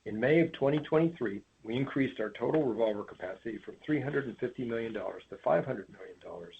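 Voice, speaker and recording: A man's voice: on the low side, gravelly. A man presenting prepared financial remarks on an earnings call in a formal, read-out manner. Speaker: company finance executive. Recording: tinny phone line with background noise.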